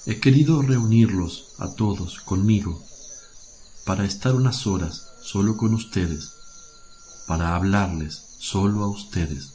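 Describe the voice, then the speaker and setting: Man acting serene holy teacher, very low voice, unhurried, solemn gentle authority, religious radio drama, crickets faintly behind. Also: slight background noise, lightly acted.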